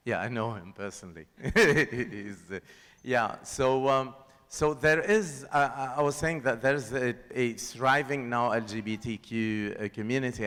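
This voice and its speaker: A man's voice: mid-range voice, fairly bright tone, smooth. A Lebanese man whose first language is Lebanese Arabic, answering questions on a festival panel in a thoughtful way, with fillers and repeated words. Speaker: panelist and filmmaker. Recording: hall with long reverb.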